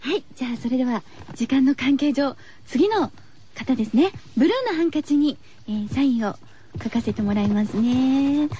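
A woman's voice: sits high, expressive, moderate pace, mellow, a little hiss or hum. A woman hosting a radio show, chatting casually to her listeners in a cutesy, girlish way. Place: radio studio. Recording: slightly dull, lo-fi radio recording.

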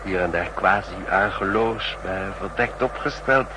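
A man's voice: low-pitched, unhurried. An actor playing a chatty, smug male train passenger with an insinuating, relishing manner, in a 1960s Dutch radio drama. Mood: smugly chatty. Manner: insinuating, relishing, with probing questions. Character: chatty, smug train passenger, spy-book know-it-all, slyly menacing. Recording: noisy old 1960s radio drama recording.

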